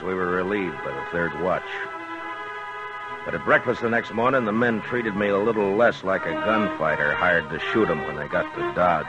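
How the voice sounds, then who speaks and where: Deep-voiced, wary frontier lawman. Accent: American. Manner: laconic, understated, cautious. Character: weathered, wary male frontier lawman, calm and dry. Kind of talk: 1950s western radio drama acting and narration. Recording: muffled vintage radio recording.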